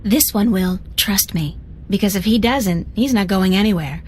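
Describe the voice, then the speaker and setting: A woman's voice: medium pitch, moderate pace, a little mellow, a little hiss or hum, lightly acted. An actress playing a headstrong, blunt young woman in a video game, talking casually and directly.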